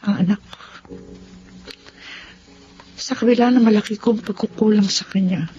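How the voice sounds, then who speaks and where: An actress playing a blunt, expressive older Filipino grandmother in a Tagalog radio drama. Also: medium pitch, normal speed, lightly acted. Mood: proud, remorseful. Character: blunt elderly mother, careless grandmother with a guilty streak. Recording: muffled radio drama audio with music.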